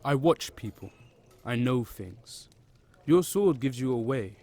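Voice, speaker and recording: A man playing Solomon, a cryptic stranger who watches people from a tavern corner and speaks in slow, leading questions. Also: medium pitch, animated, darkish tone.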